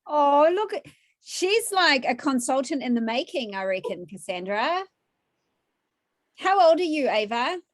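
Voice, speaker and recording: A woman's voice: high voice, very animated, measured pace. A woman, hosting a virtual cooking class from her home kitchen, chatty and upbeat as she questions a child.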